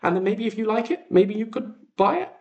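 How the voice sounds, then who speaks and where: Male streamer, fairly high-pitched and expressive, informal and rambling with self-deprecating asides, recorded in an echoey makeshift setup. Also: brisk, gravelly, dull audio. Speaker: livestream host and audio drama creator. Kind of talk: casual livestream chat. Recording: echoey untreated room.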